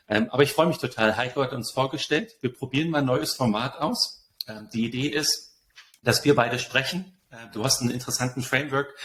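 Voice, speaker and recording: A man's voice: mid-range voice, a little hiss or hum. A man from Germany, moderating a casual podcast interview and introducing topics to his guest.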